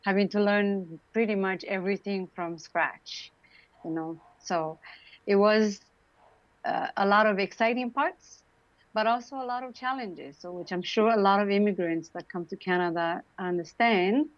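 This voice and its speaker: A woman's voice: medium pitch, moderate pace, no echo. Romanian-accented woman, muffled dry call. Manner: warm, chatty, laughs often, many fillers. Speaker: guest. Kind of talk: casual interview talk.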